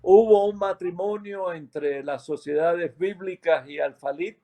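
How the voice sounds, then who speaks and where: Slow, halting Cuban man. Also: high-pitched, mellow. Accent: Cuban Spanish. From Cuba. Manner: unhurried, with many hesitations and self-corrections. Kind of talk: slow, reflective testimony.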